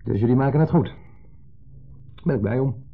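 Husky, smug ex-husband. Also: male voice, mid-range voice, brisk. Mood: falsely jovial. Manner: theatrical, insinuating, falsely jovial. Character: smug, needling ex-husband paying a visit. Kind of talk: 1970s Dutch radio drama acting. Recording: muffled old 1970s radio recording.

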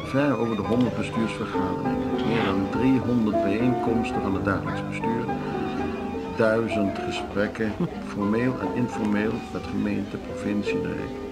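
An actor playing a proud, middle-aged board chairman in a radio drama, speaking in formal, measured oratory. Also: on the low side, vocal fry, over loud music. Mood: wistful. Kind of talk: Dutch radio drama acting.

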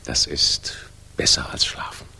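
An actor playing a weary, devoted German fighter pilot in a 1960s radio drama, with a husky, breathy voice. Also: male voice, measured pace, bright, forceful tone. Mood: tired, tender.

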